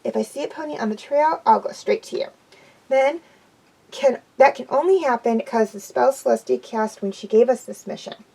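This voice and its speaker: A woman's voice: fairly high pitch, moderate pace, gravelly, warm tone, breathy edge, rough recording. A woman voicing a brash, boastful female pegasus pony from a storybook. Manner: bossy, boastful.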